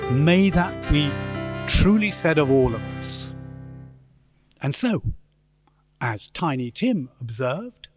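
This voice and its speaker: A man's voice: fairly high pitch, big pitch swings, slow, long pauses, over music. A man narrating a scripted story in a staged radio play.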